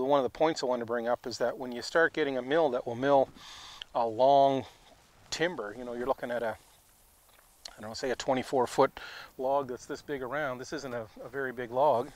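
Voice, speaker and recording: A man in his forties, a sawmill owner, talking casually to the camera outdoors in a wooded yard, relaxed and practical in manner. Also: mid-range voice. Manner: relaxed and practical, explains with hands. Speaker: sawmill owner and video host.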